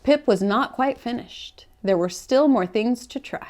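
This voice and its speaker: A woman reading a rhyming children's storybook aloud as a read-aloud host, with expressive, deliberate phrasing. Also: a little high.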